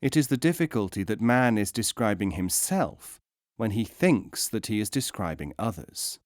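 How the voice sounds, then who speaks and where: A man's voice: lowish voice, big pitch swings, moderate pace, no echo. Dry, closely recorded male audiobook narrator. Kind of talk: scripted narration of philosophical teaching stories.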